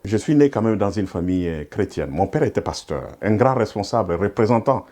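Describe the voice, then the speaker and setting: A man's voice: on the low side, animated, brisk, darkish tone. A man from Congo telling his personal story of being called to the ministry. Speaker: pastor. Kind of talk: personal testimony.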